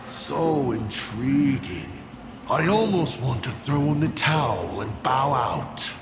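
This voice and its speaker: Slow, theatrical, gravelly game villain. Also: male voice, mid-range voice, big pitch swings. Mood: incredulous, intrigued. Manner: theatrical, teasing, deliberate. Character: theatrical male villain lord, cunning, amused, grandiose gambler. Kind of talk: dramatic video game voice acting. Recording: echoing voice with music underneath. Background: music.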